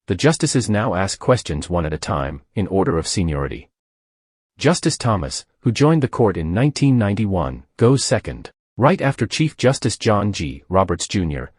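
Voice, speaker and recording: A man reading a news article aloud as the narrator of a news channel, in a steady scripted delivery. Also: sits low, slightly rough, no echo, clean studio sound.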